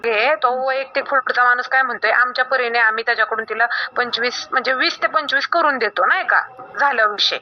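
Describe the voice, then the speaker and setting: Muffled noisy phone recording, woman, high voice, insistent, instructing, checking understanding. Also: a little fast. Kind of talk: private phone conversation. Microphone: recorded phone call.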